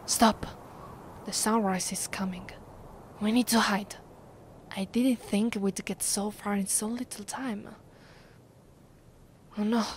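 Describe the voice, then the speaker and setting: A woman with an Italian accent playing a commanding, vengeful vampire in an ASMR roleplay, her voice soft and breathy, often sinking to a whisper. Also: mid-range voice, steady tone, slight background noise, close to an everyday voice. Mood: alarmed, urgent. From Italy. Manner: slow, deliberate, imperious. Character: commanding, vengeful female vampire, secretly affectionate. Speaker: ASMR voice actress.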